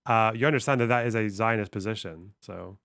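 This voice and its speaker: A man's voice: lowish voice, a little fast, vocal fry, fairly bright tone, dry recording. A man streaming, reading text aloud and musing on it casually, swearing offhandedly. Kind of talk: casual livestream commentary.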